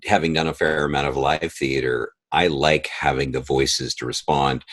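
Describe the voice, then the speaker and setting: A man with a low voice talks through his work in an interview. The sound is dry and close, and his delivery is conversational, with short acted-out asides as he explains. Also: steady tone, moderate pace, fairly bright tone, dry recording. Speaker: composer and sound editor of an audio drama.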